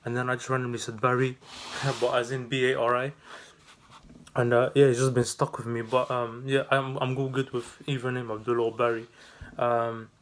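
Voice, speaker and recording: A man's voice: medium pitch, flat delivery, moderate pace, slight background noise. A man in his twenties from the Netherlands, talking off the cuff in a casual vlog, with lots of fillers and restarts. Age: 20s. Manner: rambling, many fillers and restarts. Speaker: vlogger and new business owner.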